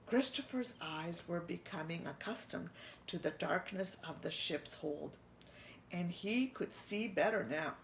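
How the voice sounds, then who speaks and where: A woman's voice: fairly low pitch, unhurried, gravelly, slight background noise, rough recording. A woman reading a children's storybook aloud, stopping to ask her young listeners questions. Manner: expressive, asks listeners questions. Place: church.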